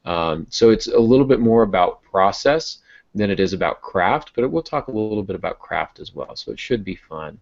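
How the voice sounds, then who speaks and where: A man's voice: on the low side, lively intonation, normal speed, creaky. A man hosting a casual podcast, chatty and explanatory, with a self-deprecating sense of humor. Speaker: podcast host and novelist. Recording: dull lo-fi audio.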